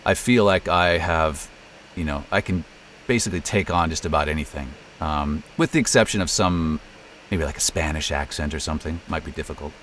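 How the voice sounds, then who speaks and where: Very deep-voiced middle-aged American man. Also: brisk, a bit bright, slight background noise. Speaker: interview guest, voice actor and narrator. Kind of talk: casual interview, telling career story.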